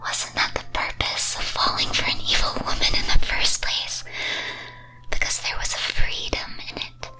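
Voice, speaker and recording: A woman playing a teasing, wicked demon mistress in a breathy, theatrical ASMR whisper, full of dramatic rhetorical questions. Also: normal speed, sharp tone, rough recording. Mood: seductive, provoking. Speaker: streamer performing as a demon-mistress persona.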